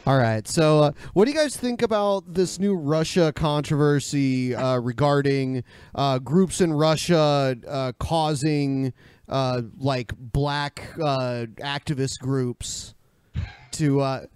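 A smooth-voiced podcast host on a very dry mic. He moderates casually, feeling his way haltingly through his question. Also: medium pitch, a little mellow, no echo.

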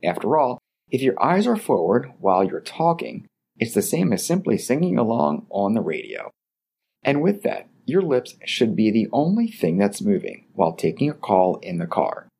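Low, husky-voiced man hosting an etiquette podcast. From Baltimore, Maryland area. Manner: polite, measured, lively delivery. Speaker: podcast host. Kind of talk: scripted advice podcast.

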